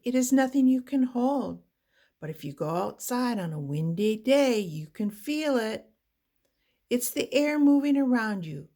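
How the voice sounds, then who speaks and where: A woman reading a children's picture book aloud with deliberate, expressive phrasing for young listeners. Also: a little high. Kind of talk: read-aloud children's storybook narration.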